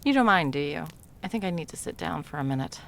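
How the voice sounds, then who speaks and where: Woman doing a flustered sarcastic christmas elf for comedy asmr, low voice that swings all over, muttery and whispery. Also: animated, quick pace, gravelly, slight background noise. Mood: exasperated. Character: frazzled, foul-mouthed, inept female Christmas delivery elf. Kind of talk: whimsical comedic ASMR roleplay, talking to herself and listener.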